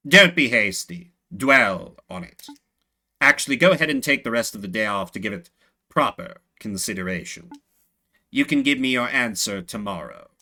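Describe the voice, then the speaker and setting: A man's voice: on the low side, expressive, sharp tone, voice pushed down, heavily acted. A man voicing a very old, dying rat plague master in a video game, grave, scholarly and formal in manner. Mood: measured, persuasive. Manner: slow, solemn, formal. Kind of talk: voiced story video game dialogue.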